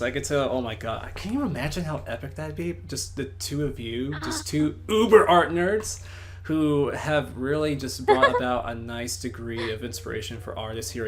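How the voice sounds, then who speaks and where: A man interviewing a guest in a casual online chat, animated and expressive, laughing often. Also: mid-range voice, a little hiss or hum. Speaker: interviewer, voice actor.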